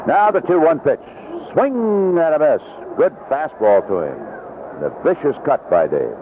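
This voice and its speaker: Vintage radio baseball caller: man, animated intonation, descriptive clipped calls, clearly noisy background. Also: a little high, unhurried. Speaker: baseball play-by-play announcer. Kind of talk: live radio sports commentary. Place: broadcast position at an outdoor ballpark. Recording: narrow-band vintage radio broadcast, noisy.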